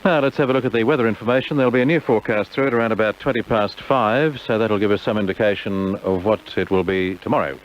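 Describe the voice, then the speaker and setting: An Australian man presenting on radio in a measured announcer's delivery, reading information and news. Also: on the low side. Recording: dull, noisy old AM radio tape.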